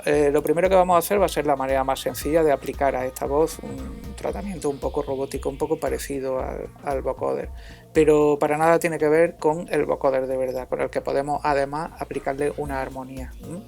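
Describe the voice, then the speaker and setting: A man narrating an informal music software tutorial as an instructor, in a halting, hesitant way. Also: a little high, steady tone, vocal fry.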